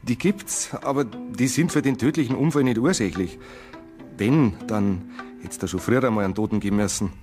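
A man's voice: fairly low pitch, normal speed, a little mellow, faint music behind, lightly acted. An actor playing a matter-of-fact male forensic technician with a Bavarian accent in a radio crime drama.

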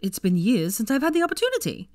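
A brash young witch from a storybook, acted by a woman narrator. She is snappy and playful, her pitch raised well up and swinging freely. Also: huge pitch swings, quick pace, no room sound, clean studio sound.

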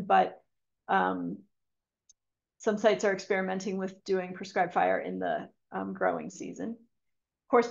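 A woman presents a conservation talk over a video call, pausing often with ums and correcting herself mid-sentence. Her voice has a gravelly fry at phrase ends, and the call audio is dull and lo-fi. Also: mid-range voice. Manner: hesitant, frequent ums and restarts. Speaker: conservation speaker giving a talk. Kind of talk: webinar lecture with slides.

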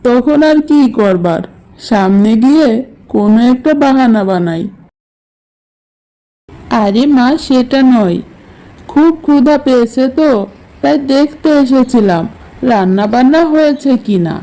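A woman's voice: a little high, animated, measured pace, pauses often, mellow, rough recording. A woman playing a sheepish, food-loving village husband in a cartoon folk tale, muttering and exclaiming to himself. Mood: sheepish grumbling.

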